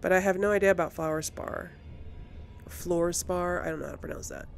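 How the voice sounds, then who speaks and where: A woman's voice: fairly low pitch, brisk, creaky, faint music behind. A woman doing casual let's play commentary as a gaming host, musing and thinking aloud.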